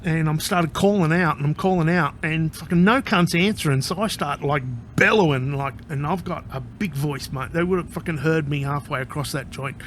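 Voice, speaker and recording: A man's voice: fairly high pitch, normal speed, few pauses, a bit bright, slight background noise. A man talking straight to camera in a casual vlog, rambling, candid and profane, with an anxious, agitated edge. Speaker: vlogger.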